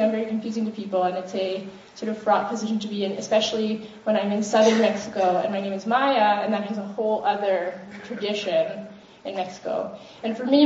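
A woman speaking as a panelist, talking in a thoughtful, measured way with frequent pauses and ums. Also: medium pitch, a little mellow. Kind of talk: reflective panel talk. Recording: distant, dull, roomy with faint noise.

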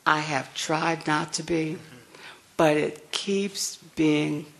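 An older woman with a soft voice, speaking frankly and wryly on a discussion panel. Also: low voice, fairly even intonation, takes it slowly, vocal fry. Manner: frank, wry, chuckling asides.

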